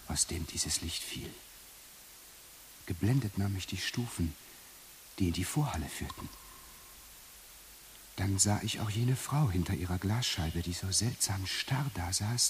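An actor playing a dazed man in a radio play, haltingly recalling his returning senses, often dropping into a whisper. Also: low-pitched. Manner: halting, repeats prompts, often drops to a whisper. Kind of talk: radio play acting, monologue. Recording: hissy early 1980s radio play recording.

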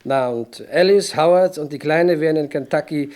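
An arrogant, slippery businessman played by a man in a German radio crime play, his voice on the higher side. He is dismissive and self-assured, dodging as he talks. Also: moderate pace, darkish tone, natural voice. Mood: evasive, irritated. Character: arrogant, slippery male businessman swindler under arrest.

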